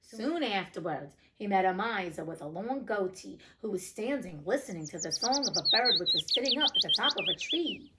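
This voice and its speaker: A woman reading a storybook aloud as host, playful and expressive, breaking off for chatty, casual asides. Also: medium pitch, normal speed, hardly any pauses, slight background noise.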